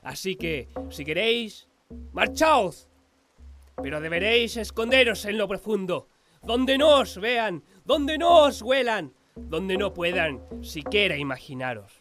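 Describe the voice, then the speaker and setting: High-pitched, solemn young Jesuit priest. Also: male voice, very animated, a little slow, a bit bright, full character voice. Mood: grave warning. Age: young adult. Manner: solemn, oratorical, archaic formal address. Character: taciturn young Jesuit priest gravely warning his native flock. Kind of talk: radio drama acting.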